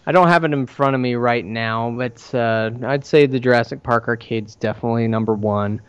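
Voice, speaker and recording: A man talks casually as a podcast host. His voice is smooth and clean at an average pitch, and the recording is somewhat dull and lo-fi. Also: fairly quick. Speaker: podcast host and arcade operator.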